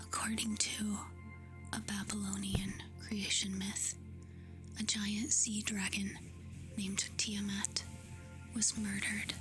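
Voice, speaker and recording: A woman doing soft-spoken ASMR, reading facts aloud in a hushed, soothing, breathy whisper. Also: medium pitch, rough recording. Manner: slow, hushed, soothing delivery with short phrases. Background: music.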